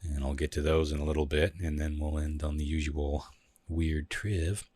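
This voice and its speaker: Male podcast host, low husky voice, loose profane chat, dry close mic. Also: normal speed, no echo. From Pacific Northwest of the US. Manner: relaxed, swearing often. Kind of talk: casual chat.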